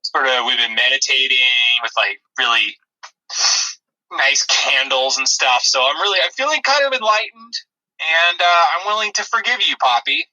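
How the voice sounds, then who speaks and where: Male comic podcaster: piercingly bright tone, aggrieved, stumbling, off-the-cuff banter delivery. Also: a little high, poor audio. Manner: defensive, halting, aggrieved. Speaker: comedy podcast co-host.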